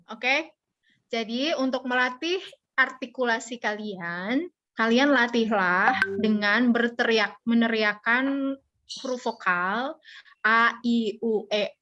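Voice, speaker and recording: A woman with a bright, high voice leads a casual online speaking class at an unhurried pace. She is playful and colloquial, giving instructions to her students. The audio is slightly dull, like a video call. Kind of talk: informal interactive online lesson.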